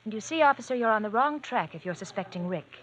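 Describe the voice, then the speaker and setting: An actress playing a rich young woman in an old radio drama, coy, sugary and quietly scheming. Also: fairly high pitch, animated, fast talker, close to an everyday voice. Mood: assured. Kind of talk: 1940s radio acting. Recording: dull old radio recording, faint hiss.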